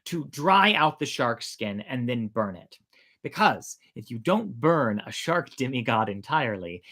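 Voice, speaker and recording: Storytime host, informal and playful, with highly varied intonation and a conversational delivery. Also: a bit bright. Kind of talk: informal animated folk-tale storytelling.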